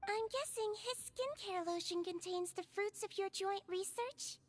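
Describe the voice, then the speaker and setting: A woman voicing a small, clever, composed girl healer in a video game, speaking in a measured, gently firm, explanatory way. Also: very high-pitched. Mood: calm, clever. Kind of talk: scripted video game dialogue.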